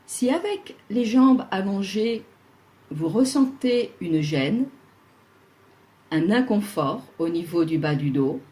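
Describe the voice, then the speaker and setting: Slow, mellow woman guiding deep relaxation. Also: animated. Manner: soothing, measured, with long pauses between phrases. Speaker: yoga nidra instructor. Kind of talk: guided relaxation meditation, scripted instruction.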